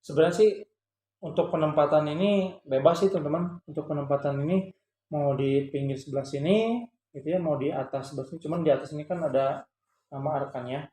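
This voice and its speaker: A man's voice: a little high, fairly quick, dark tone. A man chats casually as a vlogger, explaining a product in a hesitant, repetitive way. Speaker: vlogger and parent showing a product. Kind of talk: casual explanatory vlog chat. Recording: echoey room with faint background noise.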